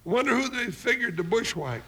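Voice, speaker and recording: A man's voice: extremely high pitch, animated, normal speed, bright, forceful tone, full character voice. A man playing a big, good-natured, puzzled deputy sidekick with rustic cowboy talk in an old Western radio drama. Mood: folksy, uneasy. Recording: noisy old radio recording.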